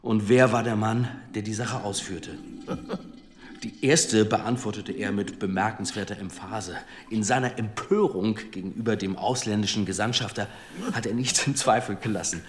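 An actor playing a shrewd, deferential senior police commissioner in a radio drama, measured, formal and dryly witty. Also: male voice, lowish voice, fairly even intonation, lightly acted.

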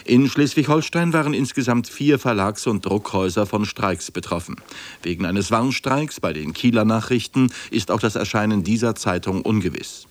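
A male radio newsreader with a fairly low voice delivers a scripted bulletin. He is sober, formal and factual. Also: moderate pace, dry recording.

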